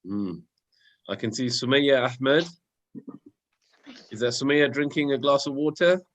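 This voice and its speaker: A man's voice: mid-range voice, unhurried. A man co-hosting an online children's session over a video call, chatting casually and teasing lightly as he greets people by name.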